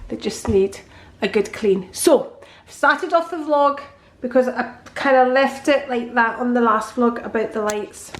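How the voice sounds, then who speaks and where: A woman's voice: fairly high pitch, a little slow, reverberant. A woman in her sixties chatting cheerfully to camera in her home kitchen, vlogging about her day. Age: 60s. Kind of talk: casual chat to camera.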